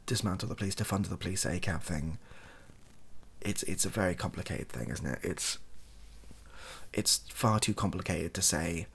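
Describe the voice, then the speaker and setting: A British man speaking softly in a rambling, hesitant, improvised opinion monologue for an online video. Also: low voice, moderate pace, creaky, breathy edge. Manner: soft-spoken, hesitant and rambling.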